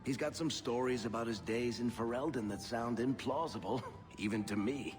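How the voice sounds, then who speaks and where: An actor playing a roguish, world-weary tavern storyteller in a video game, glib, wry and familiar. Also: male voice, mid-range voice, normal speed, lightly acted. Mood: wry, teasing. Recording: long hall reverb, music behind.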